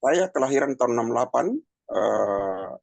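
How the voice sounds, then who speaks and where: A man in his fifties giving a personal testimony in a halting, conversational way, with many pauses and fillers. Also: mid-range voice, lively intonation, vocal fry, darkish tone, no echo. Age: 50s. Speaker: testimony speaker.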